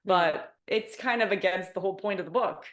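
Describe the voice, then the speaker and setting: A woman talks casually over a video call. Her pitch sits in the middle of the range, and she thinks aloud, often reaching for "like" and "I mean" as she goes. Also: brisk. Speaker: book club member who picked the book. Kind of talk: casual book club chat on a video call.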